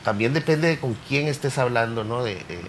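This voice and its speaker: A man's voice: medium pitch, moderate pace, a little hiss or hum. A man who teaches poetry workshops, talking hesitantly on a literature panel. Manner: hesitant, many fillers and restarts.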